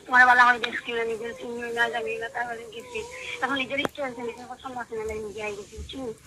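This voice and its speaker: A woman's voice: on the high side, expressive, fast, a crowd in the background. A woman with a Sylheti accent talking informally in a recorded phone conversation. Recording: recorded phone call, faint noise.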